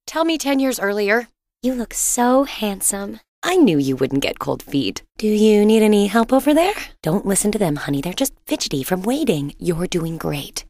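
An actress playing a loving, teasing suburban wife and mother in a video game, expressive and gently exasperated. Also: on the high side, no echo, clean studio sound. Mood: encouraging, doting. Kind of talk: cartoonish game dialogue, affectionate chiding.

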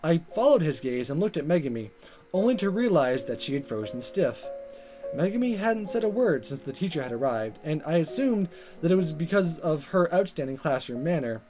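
A man narrates a visual novel as a let's player. His pitch moves around a lot as he reads expressively, with faint game music underneath. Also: big pitch swings, fairly quick, dark tone.

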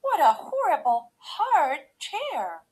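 A woman voicing a brash, fussy little girl in a storybook, with dramatic exclamations and drawn-out complaints. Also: fairly high pitch, very animated, a bit bright, full character voice. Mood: disgusted, alarmed.

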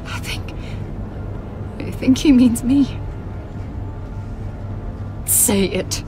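A woman's voice: on the high side, very slow, mellow, faint music behind. A woman playing an anxious but brave captive government agent in a video game, urgent and pleading. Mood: tense resolve. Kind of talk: video game drama acting.

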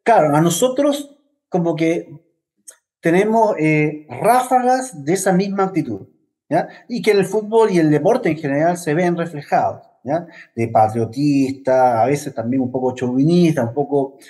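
A middle-aged man answers interview questions reflectively and haltingly, speaking over a video call with earphones. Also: a little high, mellow. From Venezuela and Chile. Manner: reflective, halting, often adds "¿ya?" tags. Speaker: interview guest, academic researcher. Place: plain office, indoors. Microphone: wired earphones on a video call.

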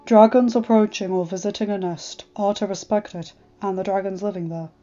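A woman's voice: medium pitch, steady tone, brisk, mellow, lo-fi sound, faint music behind, close to an everyday voice. A woman doing a grave, formal dragon. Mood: solemn. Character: solemn formal male dragon, the Nightmare. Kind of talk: fan fiction audiobook reading.

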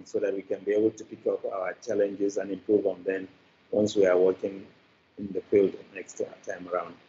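An older man with a Nigerian accent explaining his work as an interview guest, in deliberate, halting phrases. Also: on the low side, dark tone, slight background noise. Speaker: media producer, interview guest. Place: indoors, room. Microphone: video call. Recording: dull lo-fi video-call audio.

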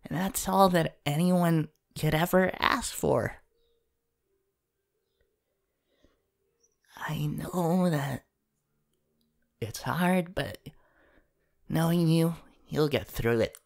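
Woman acting as young superhero guy, deep for a female voice, very slow, halting, soft-hearted, awkward, ASMR comfort role-play. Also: vocal fry, exaggerated voice. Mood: tender, sincere. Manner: hesitant, halting, partly whispered. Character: gentle, awkward, encouraging young male green superhero.

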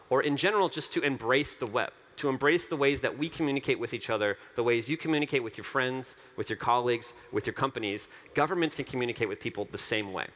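Guy on a big dark stage doing a keynote, headset mic, eager, keeps repeating stuff for emphasis. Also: mid-range voice. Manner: earnest, enthusiastic, repeats phrases for emphasis. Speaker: company co-founder giving a keynote. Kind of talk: conference keynote speech. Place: large dark conference stage.

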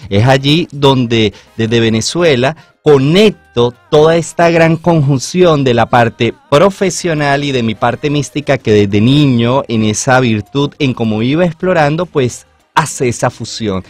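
A man from Colombia speaking earnestly in flowery, drawn-out phrases as a motivational guest in a radio interview. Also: mid-range voice, dry recording, faint music behind. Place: small radio studio. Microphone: studio microphone on boom arm, headphones.

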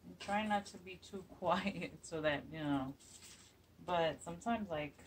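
A woman's voice: fairly low pitch, unhurried, recorded from a distance, slight background noise. A woman in her forties, chatty and upbeat with plenty of fillers, hosting a DIY craft tutorial in her home kitchen. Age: 40s. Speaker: craft channel host. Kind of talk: casual DIY tutorial chat. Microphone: phone camera a few feet away.

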